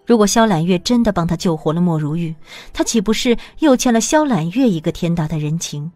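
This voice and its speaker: A woman narrating an audio drama in an even, measured storytelling voice. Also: on the low side, no room sound, soft background music.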